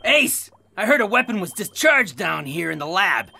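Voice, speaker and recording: Exasperated boss, wildly varied pitch, blustering, comedic radio play, faint noise. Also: male voice, sing-song, moderate pace, creaky, sharp tone, heavily acted. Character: blustering, irritable boss of a lab. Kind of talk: comedic audio drama acting.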